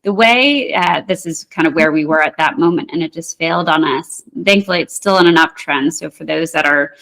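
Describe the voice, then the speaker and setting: A woman with a fairly low voice talks through trading charts as a guest in an interview. She speaks in a measured, thoughtful way, often pausing on "um" and restarting phrases as she explains each setup. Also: creaky, a bit bright, low-quality recording. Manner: measured, with frequent fillers and restarts. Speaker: market technician guest.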